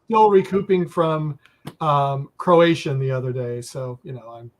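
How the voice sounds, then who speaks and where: Friendly male host, higher voice. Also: a little slow, slight background noise. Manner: friendly, reads out viewer comments. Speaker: live-stream host. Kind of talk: casual live-stream chat. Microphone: video call.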